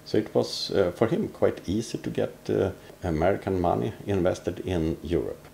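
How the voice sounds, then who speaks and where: Very deep, gravelly man. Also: normal speed, dark tone, a little hiss or hum. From Sweden. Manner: non-native English phrasing. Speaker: finance consultant vlogger. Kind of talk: explanatory vlog narration.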